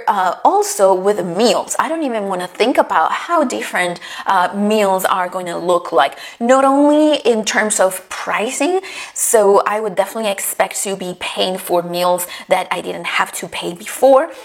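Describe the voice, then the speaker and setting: A woman from Chile talking to her viewers in a casual vlog, explaining travel matters step by step with frequent fillers. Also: mid-range voice. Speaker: travel vlogger.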